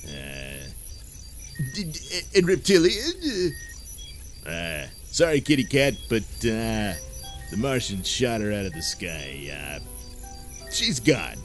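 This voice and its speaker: A man's voice: on the low side, wildly swooping pitch, slow, long pauses, heavily acted. Man as sheepish sci-fi cartoon commander, stammering, very swoopy delivery, music underneath. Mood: sheepish, apologetic.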